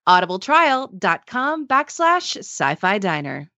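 A woman's voice: mid-range voice, very animated, a little slow, highs cut off. A woman reading scripted podcast credits and sponsor messages as an announcer. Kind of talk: scripted promotional read.

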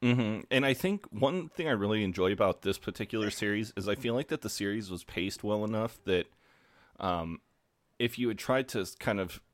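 Man, very low voice, dry close sound, congested, casual swearing podcast host. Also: dry recording. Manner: swears casually, laughs at himself.

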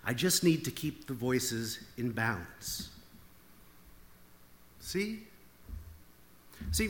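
A man preaching in a searching, thinking-aloud way, his voice a little high and swinging widely up and down. The sound carries a long reverb from a large hall. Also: a bit bright. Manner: searching, questioning, with frequent "like" fillers. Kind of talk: reflective sermon.

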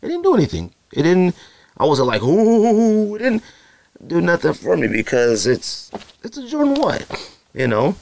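A man's voice: sits high, huge pitch swings, moderate pace, creaky, a little mellow. A man from Arizona, hosting a podcast and talking casually in a slangy, rambling way, often mimicking other people. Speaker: podcast host. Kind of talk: casual solo podcast talk.

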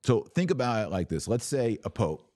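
American guy doing podcast commentary, really low voice, emphatic, dry close sound. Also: very animated, normal speed, mellow, dry recording. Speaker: podcast host. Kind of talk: conversational commentary answering listener questions.

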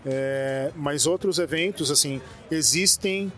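Man, Brazilian Portuguese accent, relaxed, halting, slightly high pitch, faint music. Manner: relaxed, halting, thinking aloud. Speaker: interview guest. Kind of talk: casual conversation. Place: conference event venue.

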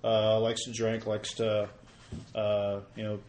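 A man playing a tabletop game, describing his character slowly and hesitantly. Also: fairly low pitch, completely flat delivery, a little mellow. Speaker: player. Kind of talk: casual tabletop play. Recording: echoey room.

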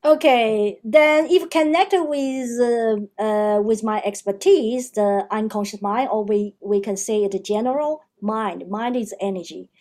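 Podcast guest: a woman, Chinese-accented English, slightly high voice, hesitant phrasing with frequent restarts. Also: a little mellow. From China. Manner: halting, many fillers and restarts. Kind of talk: interview answers.

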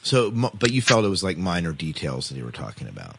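A man hosting a casual panel discussion, speaking haltingly and often repeating words. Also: sits low, very animated, vocal fry, breathy edge, dry recording. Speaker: podcast host.

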